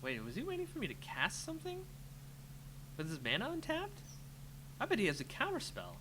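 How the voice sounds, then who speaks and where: High-pitched, animated man thinking aloud. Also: brisk. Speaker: streamer playing an online card game. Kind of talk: live gameplay commentary, thinking aloud. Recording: clear background noise with hum.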